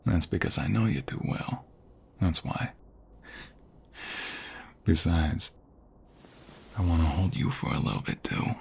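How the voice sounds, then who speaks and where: A man playing a protective, affectionate mobster fiancé in an intimate ASMR roleplay, with a husky, breathy voice that often drops toward a whisper. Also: deep voice, animated, darkish tone, full character voice. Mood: tender, soothing. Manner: affectionate, teasing, slow and murmuring. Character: protective, tender mobster fiancé soothing his beloved.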